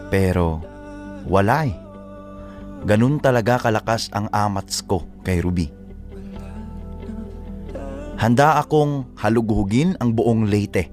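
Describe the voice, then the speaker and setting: A man's voice: medium pitch, darkish tone, close to an everyday voice. A man narrating as a lovesick, determined letter writer in a radio drama, reflective and confiding. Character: lovesick male letter writer, determined, confessing his search.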